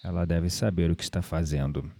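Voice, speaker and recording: An actor with a Brazilian accent playing a calm, playful, spiritually minded man in an audio drama. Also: low-pitched, fairly quick, creaky, no echo. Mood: intrigued. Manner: gently playful, reflective, philosophical.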